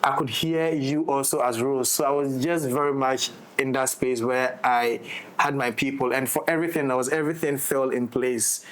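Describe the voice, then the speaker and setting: A man's voice: medium pitch, steady tone, moderate pace, low-quality recording. A man talking reflectively as a director in a casual roundtable, often repeating and rephrasing his phrases. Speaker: audio drama director.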